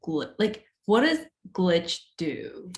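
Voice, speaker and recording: A woman's voice: on the low side, sing-song, slow pace. A woman student speaking casually and tentatively in class, leaning often on "like" as she asks questions. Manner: tentative, frequent "like" as filler.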